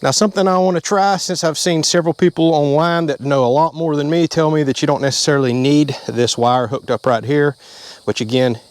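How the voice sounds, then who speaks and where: A man's voice: fairly high pitch, fairly even intonation, fairly quick, dry recording. A man, talking through a hands-on how-to in a casual, plain-spoken way from inside a vehicle. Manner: plain-spoken, step-by-step explaining. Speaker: channel host.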